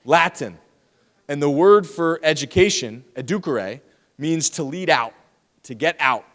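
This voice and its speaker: A man with a slightly raised pitch lectures students, teasing them and addressing the room directly. His voice comes through a handheld microphone in a big lecture hall. A long reverb trails each phrase. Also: a little slow. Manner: lively, teasing, talks straight to the audience.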